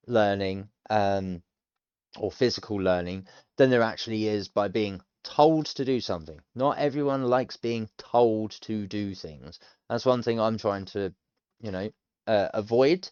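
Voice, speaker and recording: A man's voice: lowish voice, a little mellow, clear, resonant tone, dry recording, lo-fi sound. Chatty British man in his thirties. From United Kingdom. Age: 30s. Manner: rambling, self-deprecating, chatty. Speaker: language learner streamer. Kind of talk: casual thinking-aloud commentary.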